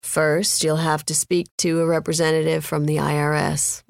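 An accommodating, businesslike casino manager played by a woman narrator, speaking low for a female voice. He is formal, measured and polite, in a dry, close audiobook recording. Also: level tone, creaky, no room sound.

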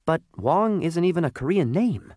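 A man's voice: on the high side, sing-song, normal speed, a little mellow, no room sound, voice pushed up. A man voicing an earnest, despondent talking lump of coal in a storybook. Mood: doubtful.

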